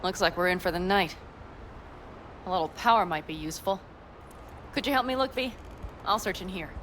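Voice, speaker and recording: An actress playing a tough young nomad woman in a cinematic video game, blunt, wry and quick to take charge, with loud ambience behind her. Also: on the low side, slight background noise, natural voice. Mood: dry, practical.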